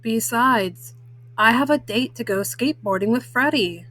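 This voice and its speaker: A woman's voice: on the high side, lively intonation, a little slow, fairly bright tone, low-quality recording. A woman voicing a dismissive older brother bear cub in a children's storybook, grumbling like a young boy.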